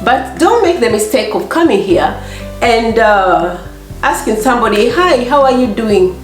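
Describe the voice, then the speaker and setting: A middle-aged woman with a Kenyan accent and a slightly hoarse voice, chatting casually as a vlog host and acting out little exchanges as she tells stories. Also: a little high, expressive, measured pace, soft background music. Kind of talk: casual chat to camera. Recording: echoey room.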